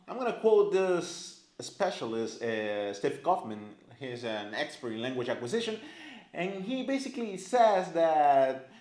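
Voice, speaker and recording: A man teaching a language in a casual, chatty way to camera, filling his talk with "right?" and "like". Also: fairly high pitch. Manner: animated, chatty, many fillers like "right?" and "like". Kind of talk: casual explanatory lesson to camera. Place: indoor room, filmed by a camera operator. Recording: echoey room.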